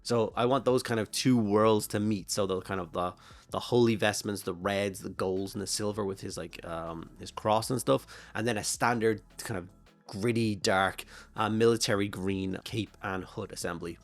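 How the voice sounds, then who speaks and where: A hoarse Irish man with a flu-worn voice talks casually through a miniature painting tutorial, rambling and thinking aloud with plenty of fillers. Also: fairly low pitch, normal speed, faint music behind. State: hoarse from a flu and throat infection. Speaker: miniature painting channel host.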